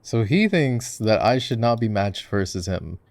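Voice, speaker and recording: An easygoing gamer boyfriend, played by a male voice actor, talks in a lowish voice with plenty of fry. He rambles playfully through casual game coaching, often breaking off his own sentences. It has the intimate, chatty feel of an ASMR roleplay. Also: sing-song, measured pace, a little mellow, slight background noise. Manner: rambling, self-interrupting, playful.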